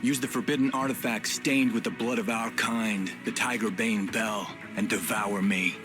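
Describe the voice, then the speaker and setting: A man's voice: on the low side, fairly even intonation, moderate pace, close to an everyday voice. An actor playing a smug, cruel tiger villain in a video game, grandiose and playful, savouring his words. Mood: theatrical resignation. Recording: loud music behind voice.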